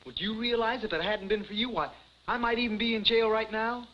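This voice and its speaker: A man's voice: sits high, fairly even intonation, fairly quick, lightly acted. An actor playing a meek, earnest young delivery man in a 1950s television comedy, hesitant, stammering and boyish. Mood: grateful. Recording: muffled 1950s television soundtrack, faint hiss.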